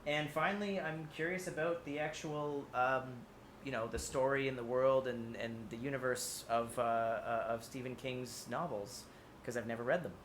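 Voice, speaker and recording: A man's voice: mid-range voice, steady tone, normal speed, fairly bright tone. A man speaking as a podcast guest, chatting casually about films. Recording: faint background noise.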